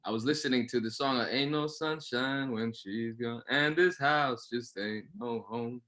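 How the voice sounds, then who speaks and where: A man's voice: medium pitch, measured pace, pushed and bright. A man chatting casually as a guest on a panel. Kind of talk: casual chat.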